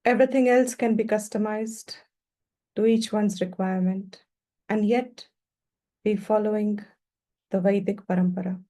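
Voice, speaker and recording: Female meditation teacher: warm, dark-toned, mid-pitched voice, gentle unhurried instructions delivered in short phrases. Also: fairly even intonation.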